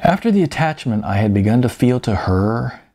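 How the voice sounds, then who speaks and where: A man's voice: mid-range voice, very animated, normal speed, warm tone, slight background noise. A man reading his own first-person audiobook in a conversational, informal way.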